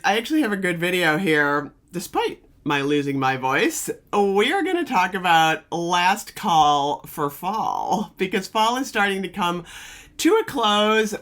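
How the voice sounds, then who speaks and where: A middle-aged woman, a little hoarse from losing her voice, chatting casually through a fragrance review on her vlog. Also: fairly low pitch, a bit bright. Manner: chatty, upbeat, rambling with fillers. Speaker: beauty and fragrance vlogger. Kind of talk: casual product review chat.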